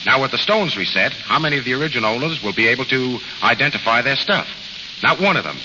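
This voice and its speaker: An American actor playing a tough, wisecracking gang boss in a 1930s radio drama, with clipped, slangy talk. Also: male voice, mid-range voice, expressive, quick pace, close to an everyday voice. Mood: urgent, scheming. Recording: muffled, noisy old radio recording, reverberant.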